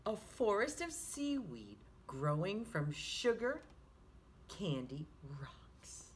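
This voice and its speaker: Animated, slow-paced woman reading to children. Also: medium pitch, slight background noise. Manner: animated, sing-song, with hushed asides to listeners. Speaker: children's storytime host. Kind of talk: expressive picture-book read-aloud for young children.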